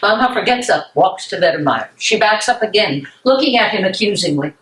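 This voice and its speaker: A woman's voice: medium pitch, lively intonation, brisk, a bit bright. A woman reading stage directions as narrator at a live play reading, in a scripted narration style. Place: library meeting room, live reading. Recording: echoey room, distant from mic.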